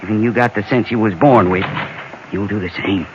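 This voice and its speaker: Radio Western: male actor as superstitious rural Western customer, low drawl, folksy and ominous, very noisy vintage recording. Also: fairly quick, highs cut off, lightly acted. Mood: ominous warning. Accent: American rural Western drawl. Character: spooked, superstitious frontier customer warning a stranger. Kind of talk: old radio Western acting.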